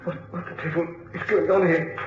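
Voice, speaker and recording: Casting: man to play panicked, indignant captive, high pleading voice, dull radio-drama recording. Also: little pitch movement, brisk, very echoey, close to an everyday voice. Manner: strained, pleading, outraged. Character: terrified, indignant male captive tied down.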